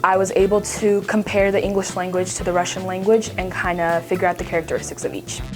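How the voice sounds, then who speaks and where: A young woman from Ukraine, a student, answering interview questions in a steady, matter-of-fact way. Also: on the low side, fast talker. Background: background music.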